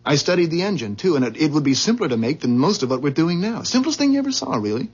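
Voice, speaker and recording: An earnest, uneasy employee in vintage radio drama. His voice is rough-edged and very mobile in pitch, with halting, stammered phrasing. The recording sounds dull and old. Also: sing-song. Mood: earnest, amazed. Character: earnest, uneasy married employee of a motor company. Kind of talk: 1970s radio drama acting.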